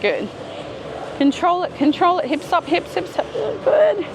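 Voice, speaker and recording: A woman's voice: very high voice, very animated, measured pace, noisy background. A woman fitness coach explaining and cueing a workout as she hosts a gym vlog. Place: indoor gym.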